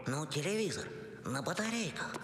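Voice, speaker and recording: A man voicing a timid, polite young cartoon hedgehog, speaking in a gentle, hesitant and naive way. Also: fairly high pitch, very animated, moderate pace, slightly rough, heavily acted. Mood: curious, naive. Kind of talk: animated film character acting. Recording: prominent film music behind.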